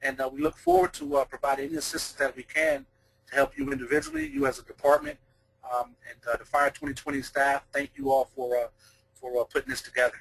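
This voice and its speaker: A man's voice: fairly high pitch, wildly swooping pitch, fast, gravelly, fairly bright tone, husky, no room sound, rough recording. A man, a deputy fire chief, co-presenting a webinar in a conversational way with frequent fillers and restarts.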